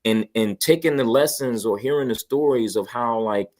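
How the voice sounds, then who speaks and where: A man chatting casually and enthusiastically, pitching with emphasis and slang and often checking that listeners follow. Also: normal speed, darkish tone. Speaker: guest promoting a toy radio station.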